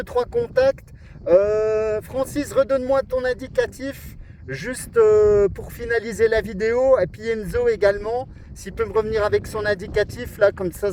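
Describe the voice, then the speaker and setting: A man's voice: sits high, a little mellow, poor audio. A man, a radio operator, chatting casually and amiably in rambling radio jargon while outdoors. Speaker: amateur CB radio operator making a video. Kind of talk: casual radio contact chat. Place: outdoors in a windy spot. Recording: outdoor recording with wind and traffic noise.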